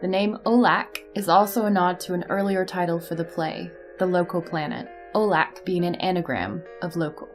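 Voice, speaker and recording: A woman's voice: on the low side, moderate pace, few pauses, faint music behind. A woman from a cast reading scripted behind-the-scenes notes. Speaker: cast member.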